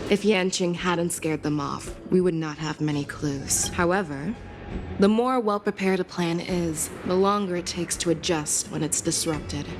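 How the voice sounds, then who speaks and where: An actress playing a shrewd, commanding general in a video game, speaking in a measured, instructive way. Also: female voice, on the low side. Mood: composed, shrewd. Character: shrewd, commanding female general and seasoned hunter. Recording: game dialogue over music.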